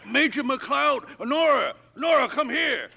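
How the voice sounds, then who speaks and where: An actor playing an excitable, welcoming old hotel proprietor in a raspy voice, in 1940s radio drama style. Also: male voice, very high-pitched, slow, heavily acted. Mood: excited welcome. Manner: eager, exclamatory. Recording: dull vintage 1940s radio recording.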